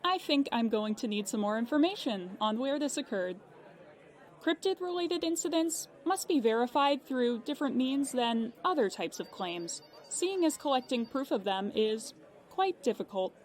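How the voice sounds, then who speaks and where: Woman doing a polite insurance agent lady in an audio drama, slightly high voice, very businesslike and by the book. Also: expressive, moderate pace, a faint crowd, close to an everyday voice. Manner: businesslike, precise, courteous. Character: unflappable, polite female insurance claims agent. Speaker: claims agent on a phone call.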